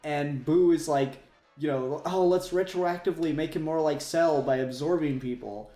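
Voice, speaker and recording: A man with a slightly high voice chats as a podcast host about things he is a fan of. He rambles with enthusiasm and swears freely. The recording has a noticeable room echo and some faint music underneath. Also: normal speed, dark tone. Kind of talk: casual fan discussion.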